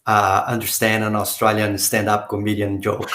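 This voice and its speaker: Low-voiced man, halting speech. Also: completely flat delivery, fairly bright tone. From South America. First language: not English. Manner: halting, many restarts and fillers. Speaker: guest, company director. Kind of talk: casual interview talk. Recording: roomy echo.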